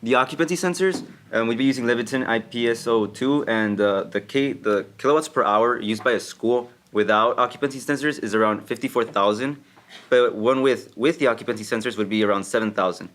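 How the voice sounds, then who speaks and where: A man's voice: medium pitch, brisk, a bit bright. A teenage boy from Brownsville, Texas, explaining costs and figures in a student presentation, with some hesitations. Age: teens. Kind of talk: rehearsed presentation.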